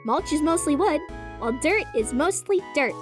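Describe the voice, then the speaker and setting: Woman doing an excitable high-pitched little girl. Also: moderate pace, over music. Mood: earnest. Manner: excited, matter-of-fact, childlike. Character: excitable ten-year-old twin girl scientists, earnest.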